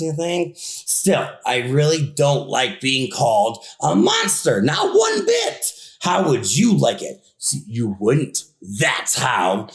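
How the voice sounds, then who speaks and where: A man's voice: on the high side, creaky, exaggerated voice. A man voicing a whiny, indignant monster with good manners, in a theatrical children's read-aloud style. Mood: exasperated, pleading. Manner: theatrical, exaggerated complaining. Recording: echoey room.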